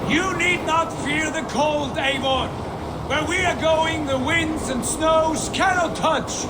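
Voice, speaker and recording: An actor playing a zealous young Norse jarl who chases visions, speaking in a fervent, declamatory way. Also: male voice, very high-pitched, slow pace, very noisy. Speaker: Norse warrior lord. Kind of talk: video game cutscene acting. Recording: game audio under loud score.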